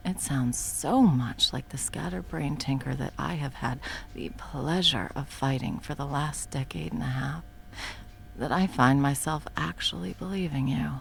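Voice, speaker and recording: A woman's voice: low voice, vocal fry, slight background noise. A woman in her thirties voicing a profane, blunt woman supervillain in an audio roleplay, mocking at first and then coaxing with pet names. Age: 30s. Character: sharp-tongued woman supervillain, long-time arch-enemy.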